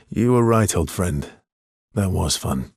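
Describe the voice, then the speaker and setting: Game swordsman, really raspy n breathy, wry and teasing, voice moves around a lot. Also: low-pitched, a little mellow, no echo, exaggerated voice. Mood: playful banter. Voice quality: husky, breathy. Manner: dry, teasing, understated. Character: wry immortal swordsman, teasing, loyal, world-weary adventurer. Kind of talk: video game voice acting.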